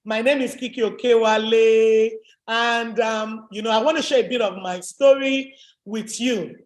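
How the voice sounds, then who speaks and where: A woman with a deep voice, giving an emphatic, motivational webinar talk as a business coach. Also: steady tone, a little slow. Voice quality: deep, low voice. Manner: emphatic, repetitive, rallying. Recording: echoey room.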